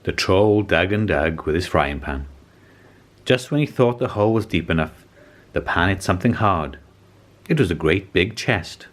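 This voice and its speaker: A man reading a children's story aloud as an expressive narrator. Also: sits low, normal speed. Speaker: storybook reader.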